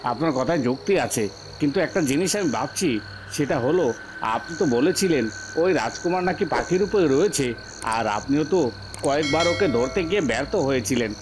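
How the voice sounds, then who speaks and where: Man voicing a cautious, highish-voiced royal henchman. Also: animated, normal speed, noisy background, lightly acted. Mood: doubtful, respectful. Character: deferential, doubtful henchman of a usurper. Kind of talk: Bengali fairy tale cartoon dubbing.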